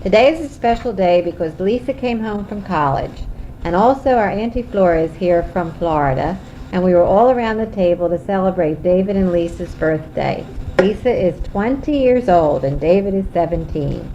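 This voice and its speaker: A woman sets the scene for a family recording in a plain, measured tone, then asks her questions. Her voice is mellow, of middle pitch, and comes from a muffled home tape with a faint hiss. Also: animated. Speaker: interviewer, family member. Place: home kitchen.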